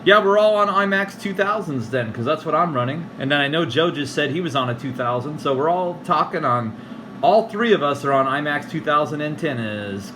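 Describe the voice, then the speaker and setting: Easygoing CB operator, male, over clear radio hiss, higher voice. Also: a little fast. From California. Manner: relaxed, friendly radio lingo. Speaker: CB radio operator recording the contact. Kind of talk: casual CB radio chat.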